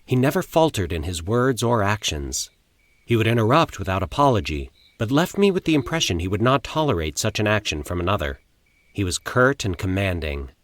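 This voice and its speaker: A man reading a scripted first-person horror story in a formal, literary, measured manner. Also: on the low side, very animated, dry recording. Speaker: narrator of horror fiction.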